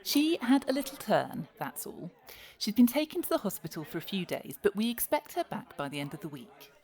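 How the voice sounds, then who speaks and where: A woman's voice: medium pitch, very animated, a little fast, darkish tone. An actress playing a patient, reassuring woman who looks after the elderly in an audio drama. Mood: brisk, reassuring. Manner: coaxing, reassuring. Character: adult women caring for elderly, patient and cajoling.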